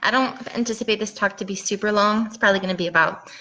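A woman's voice: medium pitch, fast, bright, forceful tone, poor audio. A woman in her thirties from Puerto Rico, giving a chatty talk as a game designer over a headset mic from a room at home. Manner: chatty, rambling with ums. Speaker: guest speaker, game designer. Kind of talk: casual presentation talk. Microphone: headset mic on video call.

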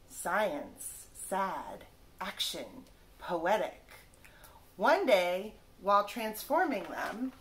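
A woman in her thirties reading a children's picture book aloud like a teacher, stressing words carefully one by one. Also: medium pitch. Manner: careful, drawn-out word-by-word emphasis. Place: small living room, indoors.

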